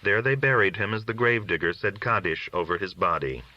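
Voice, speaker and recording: A man narrating a literary story in a measured, solemn reading style. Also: lowish voice, bright, forceful tone, lo-fi sound. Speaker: audiobook reader.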